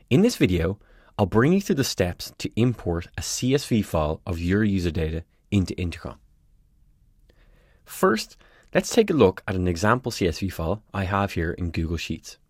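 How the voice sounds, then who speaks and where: A man presenting a scripted tutorial, giving clear step-by-step instructions in a close, dry voice-over recording. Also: deep voice, very animated, normal speed, darkish tone, no room sound.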